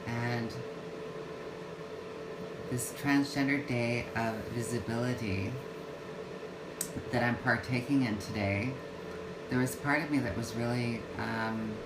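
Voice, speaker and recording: Woman, 50s, slow unhurried livestream chat, hesitant with restarts; echoey room, loud AC hum. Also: extremely low pitch, fairly even intonation. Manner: halting, many fillers and restarts. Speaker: vlogger. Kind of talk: casual livestream talk. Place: home yoga room. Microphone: phone or webcam livestream.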